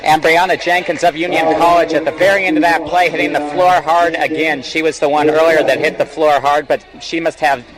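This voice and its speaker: A man's voice: a little high, flat delivery, a little fast, hardly any pauses, over a noisy crowd. A man giving analytical, conversational color commentary on a live basketball game. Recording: noisy live sports venue broadcast. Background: loud gym ambience.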